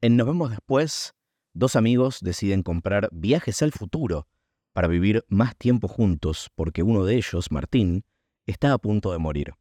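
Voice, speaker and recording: Deep, mellow male podcast host. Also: animated, normal speed, dry recording, studio quality. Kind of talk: scripted promotional narration.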